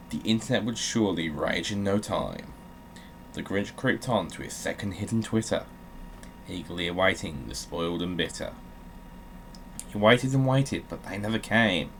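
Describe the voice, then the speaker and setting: A man with a fairly low voice hosts a gaming video in a casual, joking way. He slips between offhand chat and theatrical reading, and there is some noise in the recording. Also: poor audio. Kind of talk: casual game commentary with dramatic readings.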